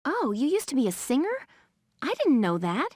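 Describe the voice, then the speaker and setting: An actress playing a spirited, earnest young female soldier in a game, youthful and expressive. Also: high-pitched, normal speed, no room sound, clean studio sound, exaggerated voice. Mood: admiring.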